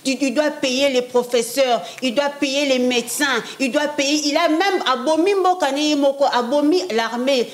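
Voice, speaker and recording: A woman's voice: sits high, moderate pace, hardly any pauses. A young woman from France, a prophetess guest in a casual interview, talking emphatically and repeating phrases for stress. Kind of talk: casual interview talk, French mixed with Lingala.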